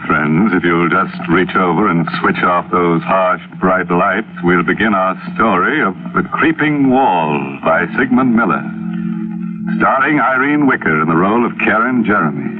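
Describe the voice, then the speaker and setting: Deep, sardonic ghoulish radio horror host. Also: male voice. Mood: silkily sinister. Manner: sardonic, macabre, smoothly inviting. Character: ghoulish, mock-welcoming male horror host. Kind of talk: 1940s radio announcing and hosting. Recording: tinny old radio broadcast with music.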